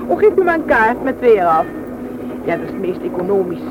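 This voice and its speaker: Prim, careful man, lively swooping delivery, noisy old radio drama recording. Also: sits high, fairly quick, lo-fi sound. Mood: matter-of-fact. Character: thrifty, proper companion on an awkward first meeting. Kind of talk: radio play acting.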